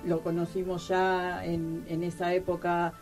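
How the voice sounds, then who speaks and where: An interview guest, a woman with a somewhat low voice. She speaks in a measured, reflective way. Music plays clearly in the background. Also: completely flat delivery, a little mellow. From Greater Buenos Aires, Argentina. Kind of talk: conversational interview.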